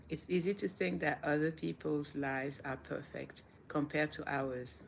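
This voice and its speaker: A woman's voice: low voice, a little hiss or hum. A middle-aged woman from Senegal with a French accent, hosting a livestream, reading reflections and chatting earnestly with viewers. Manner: earnest, repeats phrases for emphasis, laughs softly. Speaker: livestream host. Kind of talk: casual livestream talk, reading daily reflections.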